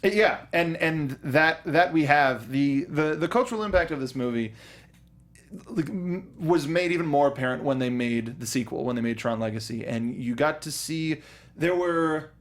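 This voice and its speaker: A man's voice: on the high side, moderate pace. A young man co-hosting a podcast in casual chat, enthusiastic and often stumbling over restarts as he talks about something he loves. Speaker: podcast co-host.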